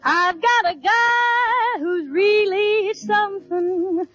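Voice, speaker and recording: A woman's voice: extremely high pitch, drawn-out words. A woman singing a swing song in the style of 1940s radio. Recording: muffled vintage radio, loud band music.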